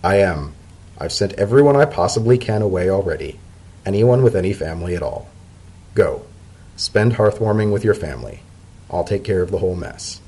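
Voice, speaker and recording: Man voicing husky, deadpan pony official Dodded. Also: low voice, moderate pace, full character voice. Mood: firm. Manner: deadpan, firm, understated. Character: Dodded, dignified, dry, dutiful male pony official. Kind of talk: dramatic story reading.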